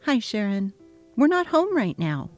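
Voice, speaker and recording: A woman's voice: a little high, very animated, a little slow, faint music behind. A young woman voicing an exhausted new mother, speaking earnestly and pleading. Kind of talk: dramatic reading of a text-message story.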